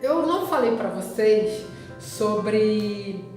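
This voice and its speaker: In a very reverberant recording with music behind, a woman speaks in a Rio de Janeiro Brazilian accent. She takes her time, reflecting casually as a vlogger and often pausing mid-thought. Her pitch sits in an average range for a woman. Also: animated, a little mellow. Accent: Rio de Janeiro Brazilian Portuguese. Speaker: vlogger recounting travel memories. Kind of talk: casual personal storytelling. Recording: very echoey, reverberant room with music.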